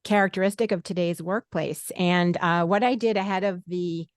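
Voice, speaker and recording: A woman in her late fifties moderates a webinar panel in a conversational way. Her voice is clear and smooth, slightly on the low side, with a dry, close sound. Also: steady tone, moderate pace, dry recording.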